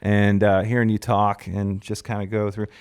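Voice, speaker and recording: A man's voice: on the low side, level tone, normal speed, clean tone. A man hosting a podcast, asking a guest questions in a supportive, casual way with lots of small affirmations. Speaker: podcast host. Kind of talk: casual interview chat.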